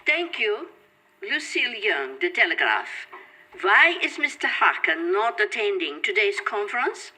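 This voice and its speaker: An actress playing a composed, probing newspaper reporter, asking press questions in an audio drama. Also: female voice, medium pitch, big pitch swings, a little slow, bright, forceful tone, slightly rough, exaggerated voice, low-quality recording. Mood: polite, probing.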